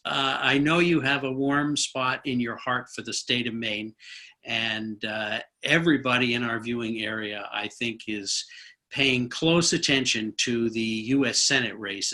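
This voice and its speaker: A man interviewing a guest in a conversational way, with a somewhat halting delivery. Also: medium pitch, bright, forceful tone.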